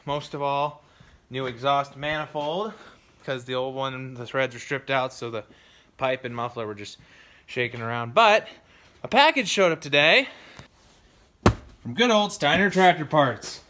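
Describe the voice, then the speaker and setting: A man's voice: mid-range voice, measured pace, long pauses, sharp tone. Young guy vlogging in his farm shop, laid back, lots of background noise. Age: young adult. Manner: easygoing, talks to viewers while handling parts. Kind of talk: casual unboxing and shop talk. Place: farm workshop with tractors indoors. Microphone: phone camera held close.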